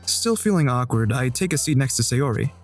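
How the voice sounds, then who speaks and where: A man voicing an awkward teenage schoolboy who narrates his own thoughts in an even, wry way, for a fan dub of a visual novel. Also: mid-range voice, brisk. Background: faint music.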